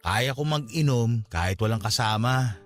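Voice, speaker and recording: An actor with a Filipino accent playing a wounded, drunken young boyfriend in a Tagalog radio drama, pleading and pushy. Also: male voice, medium pitch, expressive, moderate pace, lightly acted. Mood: bitter, hurt. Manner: pleading, insistent. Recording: dramatic music bed behind the voice.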